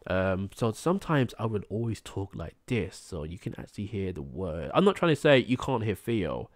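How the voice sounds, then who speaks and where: A man speaking casually in a hesitant, halting way, filling pauses with many ums. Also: fairly low pitch, sing-song, no room sound, clean studio sound. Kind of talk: casual conversation.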